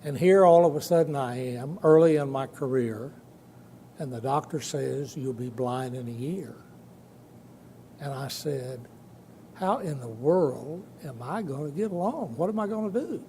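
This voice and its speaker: An elderly man with a very mellow, medium-pitched voice gives an informal personal talk in an echoey hall. He is halting and folksy, restarting and repeating words, over a low rumbling noise. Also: animated. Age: 70s or older. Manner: halting, folksy, with many false starts and repeats. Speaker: guest speaker, trial lawyer. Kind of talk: informal personal testimony speech. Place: large room at a dinner event.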